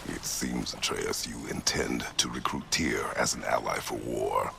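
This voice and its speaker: An actor playing a stern, gruff middle-aged warrior god and father in a video game, with a deep gravelly rumble and terse, solemn speech. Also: expressive, unhurried, full character voice. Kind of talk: video game dramatic acting.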